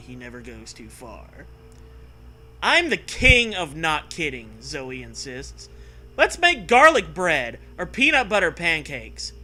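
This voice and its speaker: Male host for comic story readings, higher-pitched and unhurried, theatrical, quick to riff. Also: pushed and bright. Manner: theatrical, breaks off to riff and joke. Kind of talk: comedic dramatic read-aloud with casual banter.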